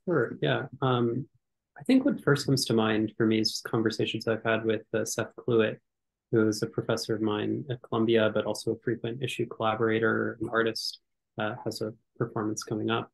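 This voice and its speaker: A young man, a curatorial fellow, talking on a video call through a headset, animated and full of restarts. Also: lowish voice, fairly quick, creaky, rough recording. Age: young adult. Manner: animated, gesturing, many repeated false starts. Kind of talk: panel conversation on a video call.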